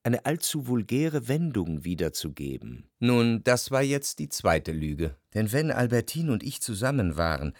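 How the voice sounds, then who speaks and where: A man's voice: mid-range voice, big pitch swings, a little slow, few pauses, no echo, clean studio sound. An actor playing the introspective narrator of a literary radio drama, a tormented, jealous lover who reflects precisely and expressively on his past. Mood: wounded, analytical. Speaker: first-person narrator.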